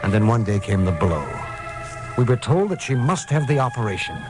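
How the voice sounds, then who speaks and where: An actor playing a devoted, naive, anxious husband in a vintage radio drama, with halting, emotional delivery. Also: male voice, mid-range voice, sing-song, music in the background, natural voice. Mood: weary, troubled. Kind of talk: 1940s-50s radio detective drama acting. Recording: noisy vintage radio recording.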